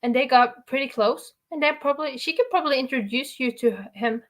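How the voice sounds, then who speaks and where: A young woman with a Norwegian accent hosting a casual livestream interview, bubbly and encouraging, quick to laugh. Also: sits high. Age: young adult. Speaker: host.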